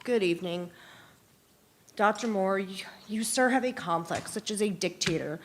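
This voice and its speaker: Woman reading out an angry public comment, accusing and sharp, mid-pitched voice. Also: moderate pace. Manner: accusatory, combative.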